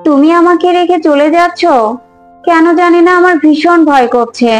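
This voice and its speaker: A woman's voice: very high-pitched, normal speed. A woman voicing a meek, anxious young daughter-in-law in a cartoon, plaintive and submissive. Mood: worried. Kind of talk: Bengali moral-story cartoon voice acting. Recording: music bed under the voice.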